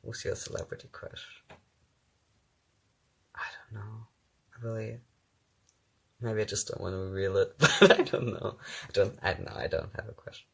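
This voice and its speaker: A man's voice: on the low side, moderate pace, vocal fry, long pauses, fairly bright tone, breathy edge. A young man whose first language is German chats casually on a phone livestream, reading viewer questions aloud and answering with ums and easy laughs. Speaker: actor hosting his own livestream.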